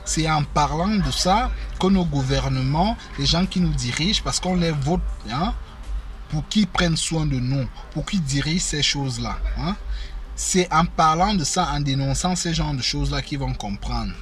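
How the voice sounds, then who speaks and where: A man's voice: fairly high pitch, measured pace. A man, hosting his channel and talking casually to viewers with many fillers and repeated phrases. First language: African mother tongue. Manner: conversational, repeats himself, frequent fillers. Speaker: YouTube channel host. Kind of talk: casual spoken commentary to viewers. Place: indoors in Germany.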